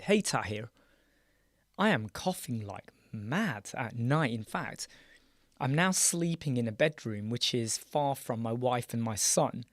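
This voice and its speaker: British male host, hoarse voice, mid pitch, chatty live-stream delivery, very dry booth. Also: no echo. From United Kingdom. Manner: chatty, greets viewers by name, trails off and restarts. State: hoarse, croaky, clearing his throat. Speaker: live chat show host. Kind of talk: casual live-stream chat with viewers. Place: small dark room with soundproof panels.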